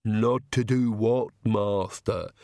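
A man's voice: on the low side, steady tone, takes it slowly, darkish tone, dry recording, studio quality. Man voicing a servile gothic manservant. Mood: deferential. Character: old-fashioned, deferential, limping male gothic servant.

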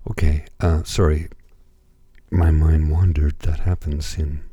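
A hoarse man hosting a radio music show, talking calmly with many pauses and hesitations. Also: a bass voice, no room sound. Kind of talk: slow, calm radio presentation.

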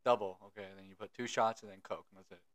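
Bright, clear-voiced young man podcasting. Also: fairly low pitch, steady tone, fast. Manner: laid-back, swears casually, storytelling rambles. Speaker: podcast co-host. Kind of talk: casual chat. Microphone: podcast microphone close to the face.

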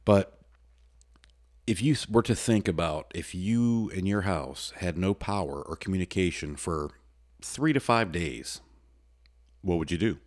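Deep-voiced man rambling on a podcast. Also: expressive, a little slow, pauses often. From United States. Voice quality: loud voice. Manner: rambling, folksy, with frequent restarts and fillers. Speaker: podcast host. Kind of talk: solo casual podcast talk.

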